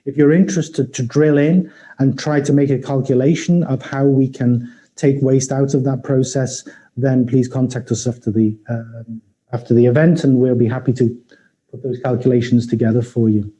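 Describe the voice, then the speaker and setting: A man with a Liverpool accent giving a live-streamed business presentation, taking questions and telling a story in a measured way with some restarts. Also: mid-range voice, steady tone, warm tone, low-quality recording. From Liverpool, England. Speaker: company presenter.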